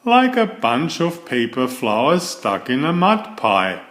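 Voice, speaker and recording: A man's voice: medium pitch, huge pitch swings, takes it slowly, fairly bright tone, reverberant, heavily acted. A man voicing a friendly, blunt young beaver in a children's storybook, casual and chirpy. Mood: frank.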